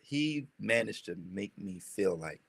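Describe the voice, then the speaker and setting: A young man with a low, gravelly voice recounts his story in a loose, rambling way, full of fillers. The sound is dry and close, with no room around it. He is a rapper from Maryland chatting as a guest. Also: animated, a little slow, a bit bright, no echo. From Maryland, USA. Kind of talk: casual interview chat.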